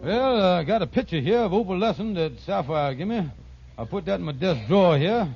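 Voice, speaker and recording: An actor playing a scheming middle-aged hustler in an old radio sitcom, with wheedling sales patter and grandiose misused words. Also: male voice, high voice, animated, brisk, creaky, over music. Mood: conniving. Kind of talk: 1940s-50s radio sitcom acting. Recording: noisy, muffled vintage radio recording.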